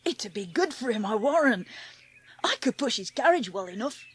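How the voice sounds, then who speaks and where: High, husky country youngster acted by a woman. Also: sing-song, normal speed, dry recording. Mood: earnest, kindly. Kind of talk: audiobook character acting in rustic dialect.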